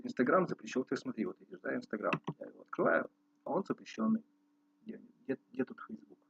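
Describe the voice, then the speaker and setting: A man's voice: mid-range voice, expressive, creaky, fairly bright tone, breathy edge, muffled recording. A man from Russia rambling casually to his viewers, often breaking off and restarting his sentences. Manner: rambling, restarts often, slow and pondering on some stretches. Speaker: musician vlogger. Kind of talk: casual rambling talk to camera.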